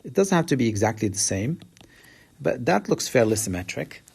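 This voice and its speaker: Husky man explaining statistics. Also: sing-song, normal speed, a little mellow. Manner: explanatory, rises in pitch to stress key words. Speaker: math teacher. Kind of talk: recorded statistics lecture.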